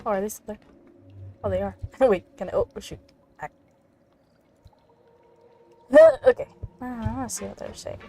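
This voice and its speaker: A woman's voice: mid-range voice, normal speed, pauses often, mellow. A woman giving casual, giggly gameplay commentary as she reacts to a video game. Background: game music.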